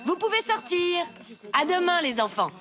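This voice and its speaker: A woman voicing a kindly primary school teacher in a French cartoon, with teacherly, gently teasing phrasing. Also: very high-pitched, big pitch swings, moderate pace, music in the background. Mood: instructive. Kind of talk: French animated series voice acting.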